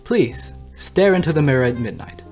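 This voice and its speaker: A man's voice: on the high side, animated, normal speed, darkish tone, close to an everyday voice. Suave, sardonic demon radio host voiced by a man. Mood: smug, mock-welcoming. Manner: suave, mock-polite, sardonic. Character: smug, theatrical male demon radio host of hell. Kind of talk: scripted comedic radio broadcast. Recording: music under the voice.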